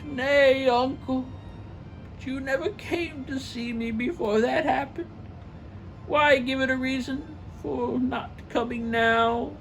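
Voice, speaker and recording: Woman acting an earnest, high-voiced young nephew. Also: fairly even intonation, slow, faint music behind, low-quality recording. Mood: hurt, imploring. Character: warm-hearted pleading young man, earnest nephew. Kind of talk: theatrical storybook reading.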